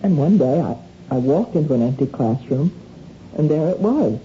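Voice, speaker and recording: A woman's voice: deep voice, lively intonation, normal speed, warm tone. An actress playing an anxious, defensive married woman who shoplifts, pleading and evasive in a radio drama. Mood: wistful, confiding. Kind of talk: 1970s radio drama acting. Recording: muffled, noisy old radio recording.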